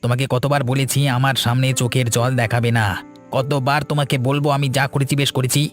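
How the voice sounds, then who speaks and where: A man's voice: medium pitch, little pitch movement, fast, soft background music, natural voice. A man playing an irritable, bossy young village son in a cartoon, scolding and exasperated. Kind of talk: Bengali cartoon voice acting.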